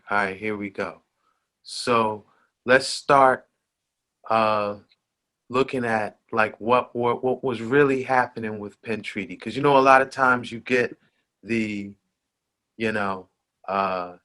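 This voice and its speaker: A man hosting a conversation, walking through local history in an unhurried way, with frequent fillers. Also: lowish voice, pushed and bright. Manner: unhurried, with many fillers like "you know" and "uh". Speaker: host. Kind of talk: slow conversational history talk.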